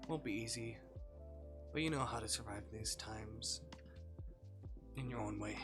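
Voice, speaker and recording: Mid-pitched streamer of unclear gender reading over music. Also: a little slow. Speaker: streamer reading a visual novel aloud. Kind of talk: live narration of a story game, read aloud with character voices.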